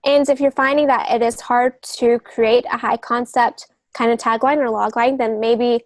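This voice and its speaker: A woman's voice: fairly high pitch, steady tone, moderate pace, no room sound. A woman speaking as a guest on a writing podcast, giving conversational advice in an earnest, explanatory way. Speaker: guest novelist and literary agent.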